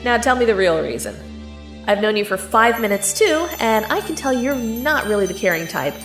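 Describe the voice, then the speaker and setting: A woman's voice: a little high, animated, moderate pace, echoey room, faint music behind. A woman playing a plucky, sarcastic young traveller in an audio drama, blunt and determined. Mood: dry, probing.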